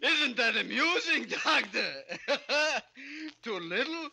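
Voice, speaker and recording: Anguished giant, wildly melodramatic radio killer. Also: male voice, very high voice, animated, slow pace, vocal fry, sharp tone, exaggerated voice. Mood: bitter, hysterical. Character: tormented murderer, former midget grown giant. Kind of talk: 1940s radio horror acting. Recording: old radio recording with faint music.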